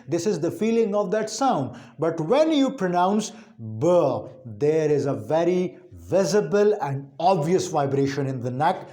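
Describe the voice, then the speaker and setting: A man with a high-pitched voice delivers an instructional linguistics lesson. His pace is slow and his intonation swings widely. He explains deliberately and emphatically, restating key points for his students. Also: a little mellow. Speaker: linguistics lecturer.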